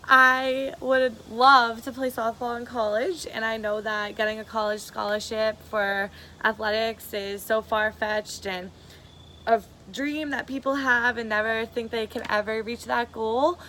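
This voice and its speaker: A woman's voice: fairly high pitch, fairly even intonation, a little slow, bright, forceful tone, slight background noise. A bubbly 22-year-old woman, chatting eagerly to camera in a casual audition video. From Massachusetts, USA. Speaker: reality show applicant.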